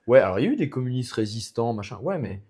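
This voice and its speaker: A young man from France talks casually as a podcast guest. His speech rambles, with plenty of restarts and filler sounds. His voice sits at an ordinary pitch for a man. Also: big pitch swings, quick pace, dark tone, husky. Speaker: guest, MMA fighter.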